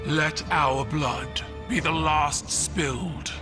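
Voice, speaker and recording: A solemn imperial ruler intones vows slowly and deliberately. The voice is pitched high and unhurried, set against a loud game score. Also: male voice, over music.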